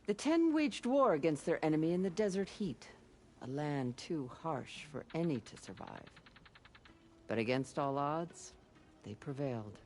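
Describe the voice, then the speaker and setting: An earnest guide in a video game, telling legends with dramatic weight. His pitch moves widely, with faint ambience behind him. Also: sing-song, measured pace, darkish tone, slight background noise. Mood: earnest, reverent. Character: earnest guide recounting clan legends of ancient soldiers.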